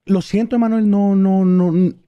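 High-pitched, mellow young man scolding. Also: steady tone, normal speed, clear, resonant tone, muffled recording. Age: young adult. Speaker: prank caller. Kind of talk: prank phone call posing as company agent. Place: gaming studio room. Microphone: boom microphone, phone in hand.

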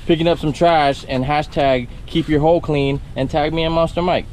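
A man's voice: fairly high pitch, fairly even intonation, moderate pace, a little mellow, slight background noise. A man co-hosting a fishing vlog outdoors, explaining things to viewers in a laid-back, earnest way. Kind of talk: casual outdoor vlog chat. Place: outdoors by a canal.

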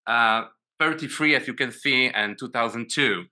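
Bright-voiced Polish man on a video call. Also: medium pitch, normal speed. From Poland. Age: 40s. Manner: hesitant, many restarts and repeated words. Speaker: guest. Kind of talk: casual video call interview.

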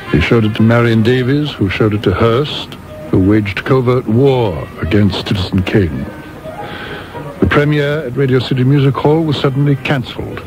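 A man plays an urbane theatre and film producer in a radio drama. His voice sits in the middle register, formal and articulate, with dry wit. Music is clearly heard behind him. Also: mid-range voice, close to an everyday voice, low-quality recording. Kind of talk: radio drama dialogue and monologue.

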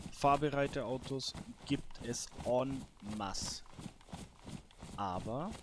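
A man giving casual solo gameplay commentary, musing and muttering to himself as he thinks aloud. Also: mid-range voice. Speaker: gaming YouTuber, let's player. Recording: clear background noise.

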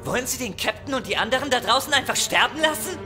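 A man's voice: very high voice, lively intonation, fast, over music. An actor playing a young, anxious, dutiful crewman in a sci-fi horror audio drama.

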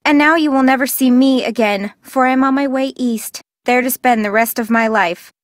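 A young Old West gentlewoman played by a woman in a video game, high in voice and formal in speech. She reads her letter solemnly, full of grief and bitterness, in a very dry recording. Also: normal speed, dry recording, close to an everyday voice. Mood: mournful, bitter. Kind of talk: dramatic acted letter reading.

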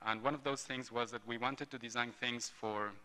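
A man's voice: mid-range voice, steady tone, moderate pace, pushed and bright. A man in his forties from Mexico, an industrial designer giving a hesitant panel talk at a conference table mic, with many ums and restarts. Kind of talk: panel presentation. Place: large hotel ballroom roundtable. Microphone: table gooseneck mic. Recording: long hall reverb.

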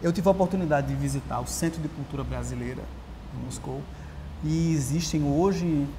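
A Brazilian man in his thirties, a writer and guest, talking in a casual interview with lively storytelling and many restarts, recorded on a lapel mic in a large empty auditorium. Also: fairly high pitch, moderate pace. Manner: animated storyteller, frequent restarts. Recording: long hall reverb, faint hiss.

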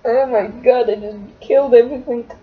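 A young English woman with a bright, high voice chats to her webcam, giggly and easily sidetracked. Her Liverpool roots barely show in her accent. The sound is dull and lo-fi, as from a cheap built-in camera mic in a small room. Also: steady tone, brisk. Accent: English, little Liverpool. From England, born in Liverpool. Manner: giggly, scatterbrained, talks to her cat in baby talk. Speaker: vlogger answering viewer questions. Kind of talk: casual webcam Q&A chat. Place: small indoor room, seated against a wall. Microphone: webcam at arm's length.

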